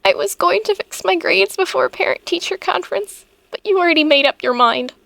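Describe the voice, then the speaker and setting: A woman's voice: extremely high pitch, animated, fairly quick, vocal fry, a bit bright, a little hiss or hum, heavily acted, poor audio. A woman voicing a spirited, eager and defiant teenage schoolgirl in a comic dub, earnest and emotive. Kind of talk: earnest fandub acting.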